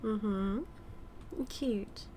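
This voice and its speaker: A British-accented woman playing a smug, manipulative evil magician in a scripted audio roleplay, teasing and taunting with deliberate, drawn-out phrasing. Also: medium pitch, steady tone, mellow, a little hiss or hum. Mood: teasing, amused.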